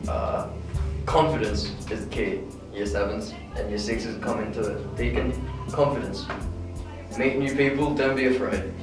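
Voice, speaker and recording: A man's voice: fairly low pitch, expressive, unhurried, gravelly, heavy reverb, far from the mic, music in the background, low-quality recording. A teenage boy in a casual interview, joking and blunt about how he has changed. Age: teens.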